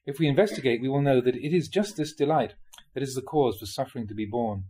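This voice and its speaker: A man reading a spiritual talk aloud in a measured, explanatory way, often posing rhetorical questions. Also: medium pitch, warm tone, husky. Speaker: monk reading dharma talks aloud.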